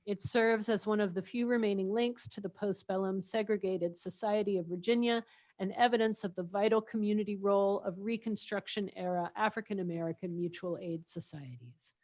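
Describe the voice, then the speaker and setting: A woman with a scratchy voice at a public committee meeting on a video call, reading text aloud in a measured way that trails off at the ends of phrases. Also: medium pitch, darkish tone. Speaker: committee member.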